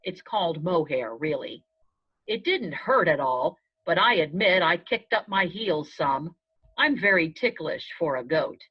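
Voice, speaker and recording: A woman narrating a children's storybook aloud in the first person, in a measured storytelling manner. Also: fairly low pitch, expressive, slightly rough. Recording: dull, lo-fi sound.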